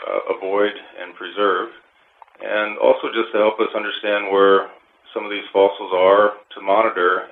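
A man giving measured, formal explanations in an interview as a park resource official, with hesitant phrasing, on a narrow phone-like line. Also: sits low.

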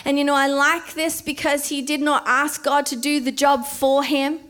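High-voiced woman preaching a sermon. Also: flat delivery, a bit bright. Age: 40s. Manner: emphatic, slowing down to stress key words.

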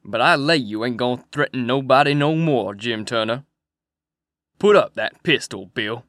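A man's voice: medium pitch, moderate pace, a bit bright, no echo, clean studio sound, voice pushed down, lightly acted. A man voicing a cold, mocking male river thief who sneers at his captive. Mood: sneering.

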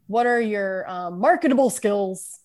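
A woman's voice: medium pitch, unhurried, darkish tone. A woman chatting playfully in a casual podcast conversation, joking and restarting her sentences. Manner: playful, jokey, lots of restarts and fillers. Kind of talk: casual chat.

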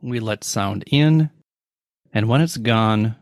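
A middle-aged man leading a guided meditation in a calm, measured way, leaving long pauses between slow phrases. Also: on the low side, no room sound, clean studio sound.

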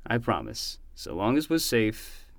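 Animated, protective uncle. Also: male voice, on the low side, unhurried, gravelly, no echo, exaggerated voice. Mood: reassuring, rueful. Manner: soothing, plain-spoken, protective. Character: protective, gentle uncle, exasperated but reassuring homeowner. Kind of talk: fiction podcast acting.

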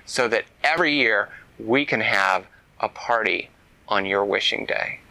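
A man voicing an admiring young female friend who speaks brightly and eagerly. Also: fairly low pitch, unhurried, gravelly, heavily acted, poor audio.

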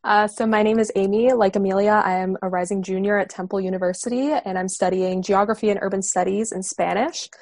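A woman of about twenty from the Boston area, a student guest on a panel who talks in a direct, quick way. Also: mid-range voice, steady tone, darkish tone, no room sound, studio quality. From Boston area, USA. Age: around 20. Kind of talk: discussion on a panel.

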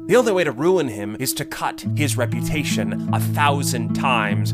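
An actor playing a scheming male court administrator, icy and condescending with a low, menacing delivery. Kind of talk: audio drama acting.

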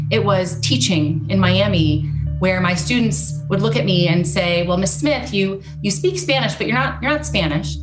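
A woman with an American accent telling her personal story as an interview guest. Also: on the low side. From United States. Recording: roomy sound with music behind. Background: music.

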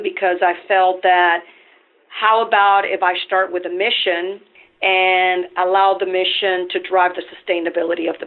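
A woman from Venezuela, a company CEO and podcast guest, telling her career story in a measured, explanatory way. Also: fairly low pitch. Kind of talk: podcast interview. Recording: tinny phone-line sound.

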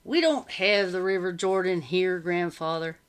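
A woman voicing a blunt, sceptical working man in a storybook reading, loud and insistent. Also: fairly low pitch, unhurried, smooth, natural voice.

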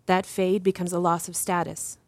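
A woman acting as an assured advocate interviewed in an audio drama, explaining things in a measured, didactic way. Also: fairly low pitch, level tone, dry recording, natural voice. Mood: composed, instructive. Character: composed, assured female advocate explaining justice reform. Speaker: interview guest.

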